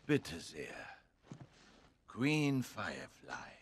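A gruff middle-aged smuggler from a game, lowish, swingy pitch, doesn't trust anyone. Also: male voice, big pitch swings, extremely slow, a little hiss or hum, exaggerated voice. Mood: wary. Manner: gruff, sceptical. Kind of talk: dramatic video game acting, German dub.

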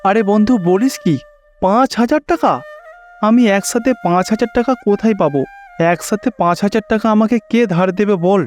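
Man voicing a plaintive, high-voiced poor devout husband. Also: a little fast, faint music behind, natural voice. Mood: disheartened. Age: middle-aged. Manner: plaintive, pleading. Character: poor pious old husband yearning for pilgrimage. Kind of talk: Bengali cartoon story dubbing.

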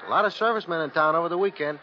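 Actor as a high-pitched, offhand male clerk. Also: racing, natural voice. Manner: casual, colloquial. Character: offhand, chatty bus station clerk. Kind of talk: 1950s radio drama acting. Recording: dull old 1951 radio recording.

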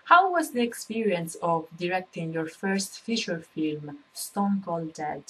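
A woman's voice: on the low side, animated, measured pace, slightly rough, rough recording. A young woman presenting a scripted interview as the host. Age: young adult. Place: indoors against a plain wall. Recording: noticeable background noise and ambience.

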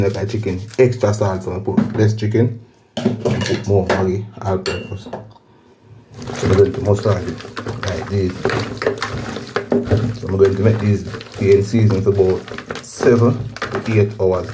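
A man's voice: deep voice, fairly even intonation, a little slow, long pauses. A man with a Jamaican accent casually narrating his cooking as host, often repeating phrases and tags. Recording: clear background noise, pot sizzling and clatter.

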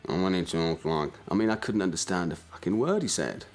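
Newcastle guy in a band doc interview, lowish voice, dry joking tone. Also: animated, fairly quick, faint music behind. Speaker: band guitarist. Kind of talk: documentary interview.

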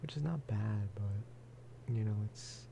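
Man, breathy ASMR whisper, low pitch, gentle casual gameplay commentary, close mic, noticeable background noise. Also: slow. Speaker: video game streamer and host.

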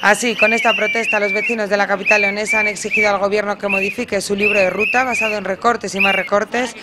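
A woman reporter reads a scripted news voice-over. Her delivery is formal and emphatic. Clear noise sits underneath her voice. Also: medium pitch, flat delivery, quick pace.

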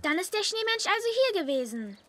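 A woman's voice: very high-pitched, sing-song, a little fast, bright, forceful tone, a little hiss or hum, heavily acted. A woman voicing a sweet, inquisitive little girl in a children's cartoon, childlike, eager and innocent. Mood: curious.

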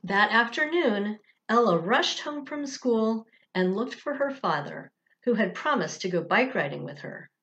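Female children's storyteller: slow, deliberate delivery, animated pitch, slightly dull lo-fi sound. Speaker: director of religious education, storyteller. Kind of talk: children's story read aloud.

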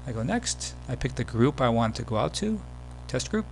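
A man giving a casual, step-by-step software tutorial as the presenter of a screen demo. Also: medium pitch, sing-song, normal speed, creaky, poor audio. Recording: background hum and noise.

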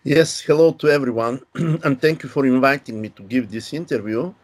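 Unhurried older man on a video call. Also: medium pitch, darkish tone. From Bulgaria. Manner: measured, unhurried, with pauses and fillers. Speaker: guest, linguistics professor. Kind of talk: explanatory interview answers. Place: home study with bookshelves.

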